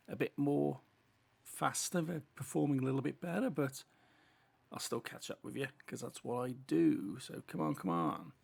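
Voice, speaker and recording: A British gaming host talking through a race as he plays, chatty and unhurried in his commentary. His voice is deeply gravelly and rough, worn hoarse by a cold, and his S sounds come out with a strong hiss. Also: a little mellow. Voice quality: heavy sibilant S sounds. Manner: chatty running commentary while driving. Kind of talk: casual racing game commentary.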